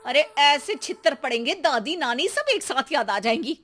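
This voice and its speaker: A woman playing an exasperated, sharp-tongued Indian mother who scolds and nags with theatrical sarcasm in a comic audio drama. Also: high-pitched, animated, moderate pace, voice pushed up. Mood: scolding.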